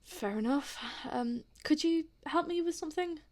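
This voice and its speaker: A woman's voice: high voice, normal speed, darkish tone, close to an everyday voice. A woman acting a polite, hesitant and sceptical visitor in a naturalistic audio drama, rambling a little awkwardly. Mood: hesitant, chatty.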